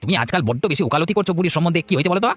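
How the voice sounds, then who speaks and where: A man's voice: on the high side, expressive, racing, a bit bright, dry recording, faint music behind. A man voicing a scheming, scornful village man in a cartoon, with a chatty, insistent manner. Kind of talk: Bengali village cartoon voice acting.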